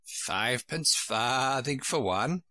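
A man voicing an old female sheep who keeps a shop, speaking slowly in a clipped, matter-of-fact way. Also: medium pitch, animated, sharp tone, dry recording. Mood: curt. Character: old female sheep shopkeeper, curt and odd.

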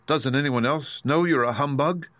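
A man's voice: medium pitch, moderate pace, fairly bright tone, no echo, pitched above the performer's own voice, natural voice. A man voicing an earnest, plucky young girl in a storybook, exclaiming and questioning. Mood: bewildered.

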